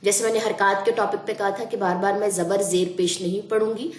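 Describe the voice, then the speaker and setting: A woman's voice: mid-range voice, fairly even intonation. Brief: woman tutor, patient lesson delivery, echoey room. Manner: slow drawn-out letter sounding, patient explaining. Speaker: Quran reading teacher. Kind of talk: online class lesson.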